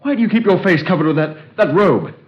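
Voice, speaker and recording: An anxious, haunted young scholar, faltering as he speaks. His pitch rises and falls a lot in a 1930s radio-play style. The old recording sounds dull. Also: lively intonation, fast talker. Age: young adult. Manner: hesitant, anxious. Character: haunted young male archaeologist, nervous. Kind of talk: 1930s radio drama acting.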